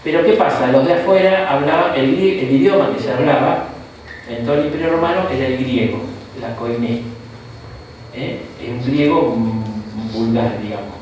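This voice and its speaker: Argentine man, bishop teaching a Bible class, chatty lecture, booming echoey room, mic far off. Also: moderate pace, a little mellow, a little hiss or hum. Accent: Argentine Spanish. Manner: conversational, frequent "¿no?" and "¿tá?" tags, asides.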